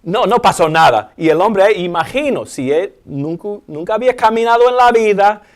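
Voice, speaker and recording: A man with an Alabama accent, a native English speaker, teaching a Bible lesson in Spanish with lively questions and playful sound effects. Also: high voice, normal speed. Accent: American English (Alabama). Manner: animated, asks questions, uses sound effects. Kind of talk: Bible study discussion.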